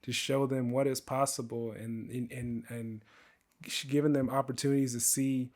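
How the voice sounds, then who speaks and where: A man hosting a podcast interview. He talks in an earnest, casual way, fills his speech with "like", and is quick to affirm and laugh along. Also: medium pitch, little pitch movement, darkish tone. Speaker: podcast host.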